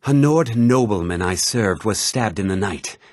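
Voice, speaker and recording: Brooding, husky prisoner. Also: male voice, on the low side, expressive, unhurried, no room sound, studio quality. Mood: weary, brooding. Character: weary, brooding imprisoned murderer in a mine. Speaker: video game voice actor. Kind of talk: fantasy role-playing game dialogue.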